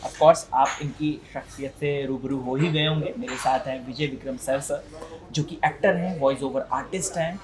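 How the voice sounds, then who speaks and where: A man's voice: medium pitch, fairly even intonation, normal speed, music in the background, rough recording. A man with an Indian accent hosting a conversational interview, putting questions to his guest in a deferential way. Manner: deferential, often addressing the guest respectfully. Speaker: interviewer and host.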